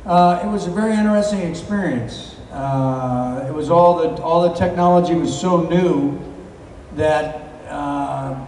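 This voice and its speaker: A middle-aged man speaks into a handheld mic on a big stage, his fairly high voice rolling through a long-reverb hall. He rambles through his stories with plenty of fillers, heard from far back in the crowd with faint room hum. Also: measured pace. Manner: relaxed, rambling storytelling with many fillers. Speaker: convention guest actor. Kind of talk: live stage Q&A. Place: large convention hall, stage. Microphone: handheld mic, filmed from far in the crowd.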